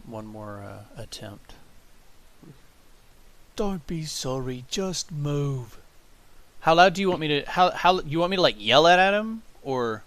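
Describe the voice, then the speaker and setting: A man's voice: on the high side, big pitch swings, moderate pace, few pauses, a bit bright. A man talking informally and conversationally about recording his voice-acting takes. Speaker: voice actor re-recording lines. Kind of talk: casual collaborative work chat.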